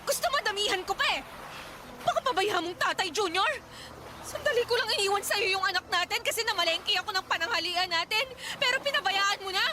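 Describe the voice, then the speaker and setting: Radio drama casting: exasperated young mother, piercingly high voice, scolding and combative, noisy street scene. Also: expressive. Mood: furious, scolding. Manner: loud, scolding, rapid-fire rhetorical questions. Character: fierce, exasperated young mother scolding her irresponsible partner. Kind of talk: Tagalog radio drama acting. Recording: noisy street ambience with vehicles.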